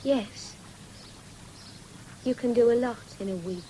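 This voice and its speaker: A young country girl in an old radio play. Her high voice is keen and forthright yet polite, heard over faint noise from a vintage broadcast recording. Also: slow, mellow, rough recording. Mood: quietly encouraging. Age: young adult. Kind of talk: 1970s British radio drama acting.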